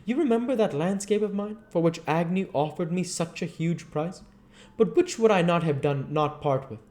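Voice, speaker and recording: Man acting out fervent, lovestruck painter, raised pitch. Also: lively intonation, normal speed, darkish tone. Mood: rapturous. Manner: fervent, dramatic, confessional. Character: ardent, anguished male painter, earnest and infatuated. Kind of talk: storybook dramatic reading.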